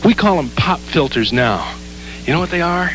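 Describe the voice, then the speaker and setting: A man's voice: a little high, expressive, moderate pace, music in the background, low-quality recording. A man working as a radio disc jockey, talking in joking studio chat.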